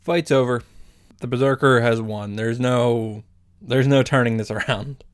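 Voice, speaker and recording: A man's voice: mid-range voice, fairly even intonation, unhurried. A man giving casual, sweary, exasperated commentary as he reacts to a visual novel. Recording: music behind the voice. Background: music.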